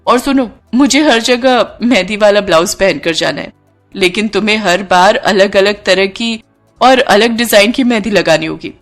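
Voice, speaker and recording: A woman's voice: medium pitch, normal speed, soft background music, natural voice. A woman plays a glamorous young actress in a cartoon tale. She sounds confident and effusive, bossing affectionately like an elder sister, with grateful feeling in her delivery. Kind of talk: Hindi cartoon story dialogue.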